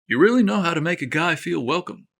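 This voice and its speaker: A man's voice: fairly high pitch, expressive, fast, gravelly, fairly bright tone, voice pushed up, full character voice. A man doing a charming easygoing golfer. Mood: friendly.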